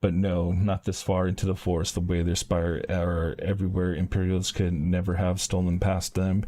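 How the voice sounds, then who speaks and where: A man's voice: sits low, completely flat delivery, moderate pace, warm tone, slight background noise, natural voice. A man reading aloud the lines of a wary forest scout in a game, in his own everyday voice. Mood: musing. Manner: reads game dialogue aloud in his plain voice.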